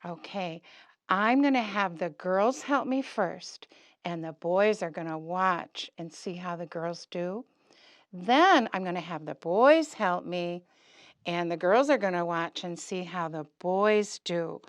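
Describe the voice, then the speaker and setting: Slow-paced woman teaching young children. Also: fairly low pitch, dry recording, clean studio sound. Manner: simple instructions, questions and encouragement to children. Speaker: puppet show presenter for preschoolers. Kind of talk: interactive safety lesson for young children.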